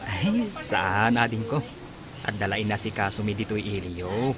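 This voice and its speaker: A man's voice: lowish voice, lively intonation, measured pace. A man with an Ilocano accent playing an uneasy, evasive village man in a radio comedy drama. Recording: busy outdoor crowd and traffic behind.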